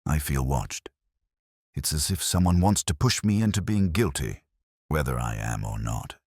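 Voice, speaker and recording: A man's voice: very deep voice, lively intonation, normal speed, a little mellow, slightly rough, no room sound, studio quality, full character voice. A man voicing an anxious, secretive woman in a mystery story, speaking hesitantly and uneasily. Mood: uneasy, guarded. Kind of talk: dialogue in a narrated mystery story.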